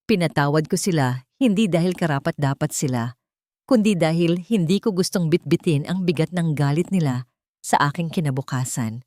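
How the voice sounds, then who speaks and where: A woman voicing a calm, wise older brother in a narrated drama, solemn and measured. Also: low voice. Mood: solemn, resolved.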